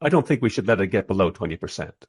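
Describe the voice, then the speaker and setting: Radio drama narrator, male actor: husky, lowish, wry and musing filmmaker telling his own story. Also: very animated, very fast, full character voice. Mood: questioning.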